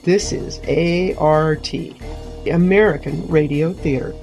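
A woman's voice: deep voice, fairly even intonation, slow. A woman presenting a radio show, reading scripted announcements and credits over faint music. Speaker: presenter and director.

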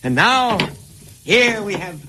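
An actor playing an eccentric, boastful struggling painter with a breezy, chatty manner, in old-time radio comedy style. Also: male voice, sits high, very animated, takes it slowly, bright, forceful tone, heavily acted. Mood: proud, boastful. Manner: breezy, chatty, proud of his own work. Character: eccentric struggling painter, boastful, oblivious, sly, eager to show off.

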